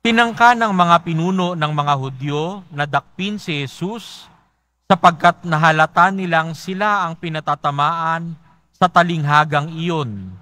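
A man with a Filipino accent, a priest reading the Gospel aloud in a large church in a slow, measured way. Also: a little high, pushed and bright, no room sound. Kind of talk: reading the Gospel and preaching at Mass.

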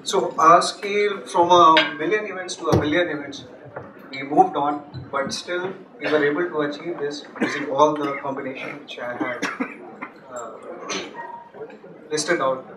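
A young man giving a technical talk at a meetup, halting with many restarts and fillers, on a handheld mic in a large office room. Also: fairly high pitch, steady tone. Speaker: conference speaker. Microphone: handheld mic, far camera. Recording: echoey room from far off, noisy.

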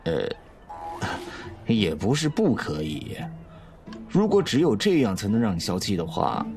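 Animated, teasing young male student played by a man. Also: lowish voice, unhurried, vocal fry, faint music behind, exaggerated voice. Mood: teasing, smooth. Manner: coaxing, teasing. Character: sly, teasing male college student, playfully wounded suitor. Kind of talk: Chinese audio drama acting.

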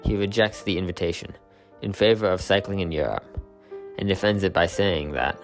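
Deep-voiced man narrating an essay. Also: moderate pace, soft background music. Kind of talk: scripted narration.

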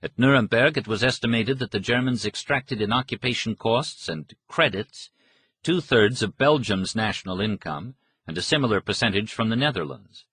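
A man reading a history book as a scripted narration, in a measured, formal manner with a slightly husky voice. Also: on the low side, fairly bright tone, no echo. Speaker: audiobook narrator.

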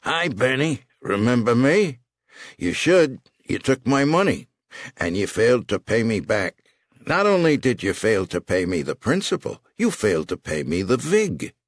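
A man's voice: medium pitch, very animated, a little slow, dry recording, clean studio sound, pitched above the performer's own voice. A man voicing a menacing gangster loan shark in an audiobook, taunting with theatrical mock courtesy. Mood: taunting menace. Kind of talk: audiobook character voice.

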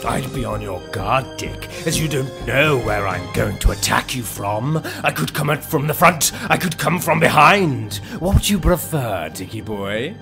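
A gloating villain rat king in a radio pantomime. He taunts slyly in a creaky, slow drawl that swoops widely, with music faintly underneath. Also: mid-range voice, expressive. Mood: taunting. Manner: theatrical drawn-out taunting with villain laughs. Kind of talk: pantomime radio play acting.

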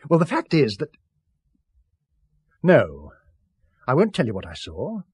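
An assured, secretive British scientist in a seventies radio play. His voice is slightly husky and very animated, with articulate, earnest, insistent delivery. The recording is dry and close. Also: mid-range voice, a little slow, no echo, full character voice. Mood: earnest, persuasive. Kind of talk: 1970s British radio drama acting.

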